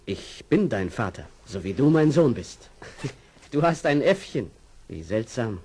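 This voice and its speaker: An actor playing a gentle, tender father in a children's radio play. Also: mid-range voice, sing-song, a little slow, husky, exaggerated voice. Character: gentle, moved father meeting his son.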